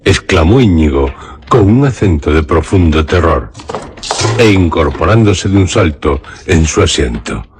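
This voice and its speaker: A man narrating a literary radio drama in a measured, solemn way. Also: deep voice, sing-song, noisy background.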